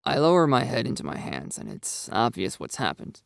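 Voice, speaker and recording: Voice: medium pitch, very animated, fairly quick, gravelly, no room sound. A narrator reading a dramatised first-person story in a scripted style. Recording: dry, music behind. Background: background music.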